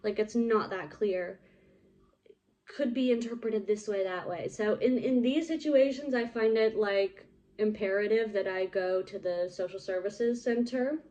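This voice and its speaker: A woman's voice: mid-range voice, measured pace, mellow, low-quality recording. A young woman chatting casually to camera, rambling with lots of likes and ums. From United States. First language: English. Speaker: vlogger.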